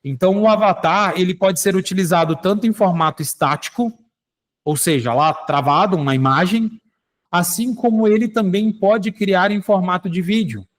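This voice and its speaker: A man hosting a show, demonstrating software live and explaining it to listeners, often checking in with "tá?" and "né?". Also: sits high, moderate pace. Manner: explanatory, addresses listeners directly with frequent "tá?" and "né?". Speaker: radio show host.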